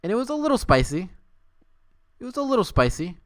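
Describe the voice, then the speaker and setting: A man's voice: high voice, big pitch swings, a little fast, fairly bright tone, dry recording, clean studio sound. A man hosting a livestream, switching between dramatic story reading and casual, playful chat. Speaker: livestream host.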